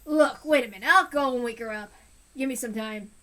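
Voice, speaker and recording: A woman voicing a bullying, abusive middle-aged man who snarls and shouts. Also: a little high, brisk. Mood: defensive. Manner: belligerent, shouting, sneering.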